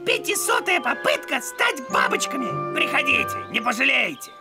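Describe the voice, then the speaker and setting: A woman's voice: high voice, sing-song, normal speed, vocal fry, over loud music, full character voice. A woman voicing a childish, greedy, eager male caterpillar in a children's cartoon. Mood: proud.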